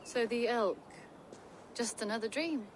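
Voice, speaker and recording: A woman's voice: fairly high pitch, measured pace, slight background noise, close to an everyday voice, rough recording. A woman playing a plain-spoken young huntress in a video game, earnest and direct. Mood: soft. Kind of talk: dramatic video game acting.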